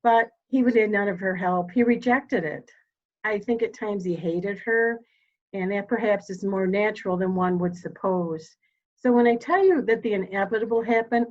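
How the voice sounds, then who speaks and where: A woman acting a steely, dying elderly mother in a mystery drama reading, speaking formally and deliberately. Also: mid-range voice, lo-fi sound, lightly acted.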